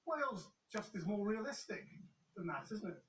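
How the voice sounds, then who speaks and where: A man presenting the news, narrating a report and pressing a guest with brisk, challenging questions. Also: sits high, big pitch swings, a bit bright, far from the mic.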